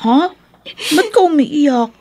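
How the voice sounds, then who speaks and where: Kind filipino schoolgirl, high but mellow voice, radio play. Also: expressive, slow. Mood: comforting, concerned. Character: caring young schoolgirl comforting her classmate. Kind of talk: Filipino radio drama acting.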